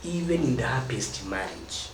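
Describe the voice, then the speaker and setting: Kenyan guy lecturing on marriage, lively up-and-down voice, roomy echo, noisy. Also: medium pitch, recorded from a distance. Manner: emphatic, drawn-out stresses on key words. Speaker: marriage advice speaker. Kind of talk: teaching monologue.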